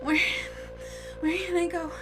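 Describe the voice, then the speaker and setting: Woman playing a high-voiced, frightened village girl. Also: steady tone, unhurried, music in the background. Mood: terrified pleading. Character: terrified, tormented village girl in horror tale. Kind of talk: horror radio drama acting.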